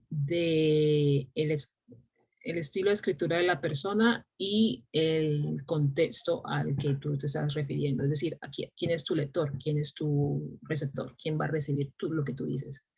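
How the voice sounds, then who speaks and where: A woman from San Andrés, Colombia, whose first language is Creole English, speaking Spanish with a fairly neutral island accent while teaching an English class online. She talks in a measured way with frequent fillers. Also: low-pitched, creaky, slightly rough.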